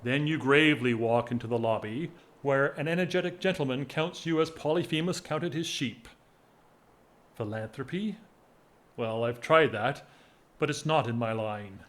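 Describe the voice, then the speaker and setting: Man playing a slow-spoken, lovelorn English gentleman. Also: fairly high pitch. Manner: formal, wistful, wry, measured speech. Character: lovelorn, weary upper-class English gentleman, restrained and wry. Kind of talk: stage-style period radio drama acting.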